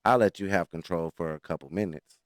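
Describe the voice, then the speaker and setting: A man's voice: deep voice, fairly quick, no echo, studio quality. A man talking frankly in a casual chat, boastful and teasing.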